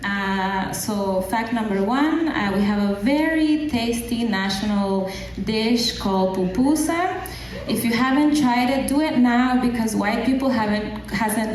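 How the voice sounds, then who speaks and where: A woman's voice: fairly high pitch, a little hiss or hum. A young woman from El Salvador doing a stand-up set on a live stage, with dry, self-deprecating delivery and frequent fillers and restarts. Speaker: stand-up comedian. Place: live stage before an audience. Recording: echoey live room, distant mic, audible audience.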